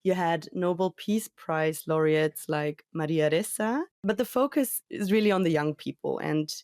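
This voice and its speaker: Woman correspondent, lively and conversational, slightly low voice, dry studio mic. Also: normal speed, no room sound. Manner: chatty, lively, starts lines with "so". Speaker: reporter. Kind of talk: conversational field report to a host.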